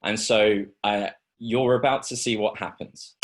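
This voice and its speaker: A man giving a startup pitch over a video call, with many ums and restarts. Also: steady tone, a little slow. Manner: hesitant, many fillers. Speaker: startup presenter. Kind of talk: pitch presentation.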